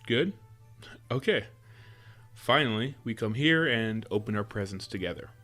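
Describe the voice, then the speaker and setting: Guy acting as a sweet playful boyfriend, kinda deep voice, teasing and coaxing, music in the audio roleplay. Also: animated, a little slow, fairly bright tone. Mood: playful, affectionate. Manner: teasing, chuckles often, coaxing. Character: affectionate playful boyfriend spoiling his sweetheart with surprises.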